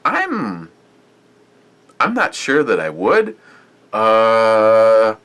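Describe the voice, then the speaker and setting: A man's voice: fairly low pitch, wildly swooping pitch, extremely slow, fairly bright tone. A man casually reviewing a beer on his vlog, rambling and chatty with lots of fillers and restarts.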